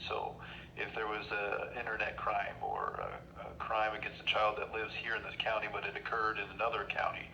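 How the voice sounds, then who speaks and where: A man handles an official phone call in a quick, forceful voice. He is firm and matter-of-fact, sticking to procedure. The line is dull, with some noise behind him. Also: fairly low pitch, lively intonation, vocal fry. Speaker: law enforcement official taking a call. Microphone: telephone. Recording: dull, noisy telephone line.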